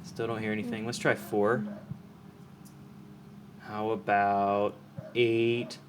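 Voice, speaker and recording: A man's voice: lowish voice, little pitch movement, slow pace, background noise. A man giving a casual, hands-on explanation as an audio professional, speaking informally and often checking that the listener follows. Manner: informal, demonstrative, checks understanding. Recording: music playing behind the voice.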